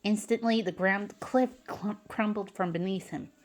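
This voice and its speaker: A woman's voice: medium pitch, steady tone, moderate pace. A woman narrating fan fiction dramatically, stumbling over some words as she reads.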